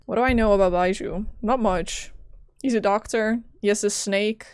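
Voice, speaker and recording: A woman's voice: medium pitch, normal speed, clear, resonant tone, no echo, studio quality. A woman doing casual, offhand gameplay commentary with wry asides. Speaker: streamer playing a video game. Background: game music.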